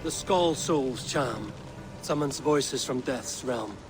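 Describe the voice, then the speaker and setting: An actor playing a composed riddle-answering seeker in a video game, speaking in a measured, formal, direct manner. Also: male voice, mid-range voice, music in the background, natural voice. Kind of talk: game dialogue acting.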